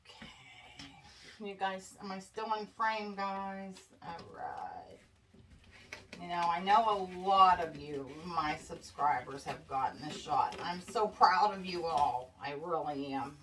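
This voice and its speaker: Stuffy-nosed woman, chatty craft vlogger, slow and fretful, mic set back, faint hiss. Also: on the low side, lively intonation, a bit bright. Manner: chatty, worried, addresses viewers as guys. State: congested, stuffy nose. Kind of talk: casual chat while crafting. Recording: distant mic with faint background noise.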